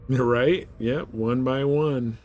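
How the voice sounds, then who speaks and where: A man's voice: mid-range voice, fairly even intonation, drawn-out words, darkish tone. A man chatting casually as he streams a game, laid-back, slangy and prone to swearing. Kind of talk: casual chat with viewers while playing.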